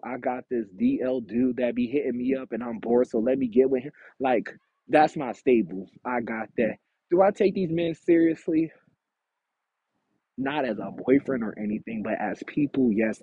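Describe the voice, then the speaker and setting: A man's voice: mid-range voice, normal speed, vocal fry, slightly rough, dry recording. Chatty candid man on tinny audio. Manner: chatty, candid, animated quoting of himself. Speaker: podcast host. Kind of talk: casual solo podcast monologue. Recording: tinny phone-like audio, dry.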